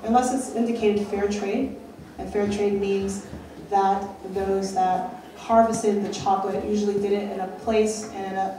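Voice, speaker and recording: A woman's voice: mid-range voice, dark tone, a little hiss or hum. A young woman giving a keynote talk from a stage, earnest and questioning, pressing her points with rising emphasis. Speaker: keynote speaker. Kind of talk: public lecture. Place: dark stage at a festival, indoors. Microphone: handheld mic on a stand. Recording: roomy hall from a far camera, audience.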